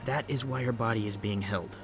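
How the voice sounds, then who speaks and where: A man playing an evasive diplomatic bureaucrat in a video game, courteous, formal and measured. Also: fairly low pitch, soft background music, natural voice. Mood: apologetic, official.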